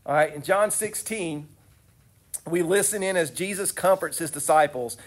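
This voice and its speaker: A man's voice: fairly high pitch, moderate pace. A young adult man with a cold-congested voice, preaching and praying in an earnest, conversational way, often restarting his sentences. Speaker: youth speaker. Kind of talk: sermon with prayer.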